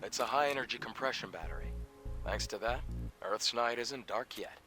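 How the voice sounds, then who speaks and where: A man's voice: medium pitch, moderate pace. An actor playing a helpful, knowledgeable male scavenger companion in a sci-fi video game. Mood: informative. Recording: game dialogue buried under loud music.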